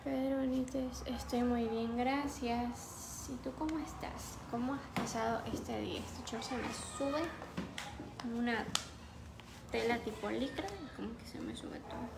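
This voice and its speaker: A woman's voice: fairly high pitch, measured pace, gravelly, very noisy. A young woman from Ecuador chatting casually with viewers on a livestream, reading out their names and answering them. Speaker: livestream host. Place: small room indoors. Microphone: phone on a ring light stand.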